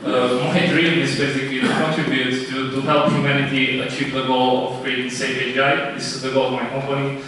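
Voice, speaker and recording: A man from Romania pitching his startup live, halting often with fillers and restarts. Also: flat delivery, fairly bright tone, slight background noise. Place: event room. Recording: echoey room, distant mic.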